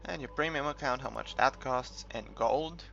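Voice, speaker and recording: A man's voice: medium pitch, normal speed, gravelly, fairly bright tone. A man from the UK giving a casual, unscripted game walkthrough, rambling and hesitant as he thinks aloud. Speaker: gamer making a game tutorial. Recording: muffled, dull recording with background music.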